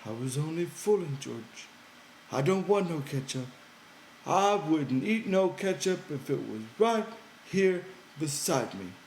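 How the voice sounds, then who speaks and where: A man's voice: on the high side, big pitch swings, measured pace, a little mellow, roomy sound, slight background noise, pitched above the performer's own voice, rough recording. A man voicing a big, childlike, simple-minded ranch hand who pleads and whines in an audiobook. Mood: pleading, earnest. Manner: whiny, pleading, childlike, ungrammatical.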